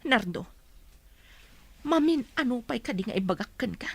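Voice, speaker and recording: High-voiced, firm, caring mother played by an actress. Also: expressive, moderate pace, vocal fry, husky, dry recording, exaggerated voice. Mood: indignant, firm. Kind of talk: Ilocano radio drama acting.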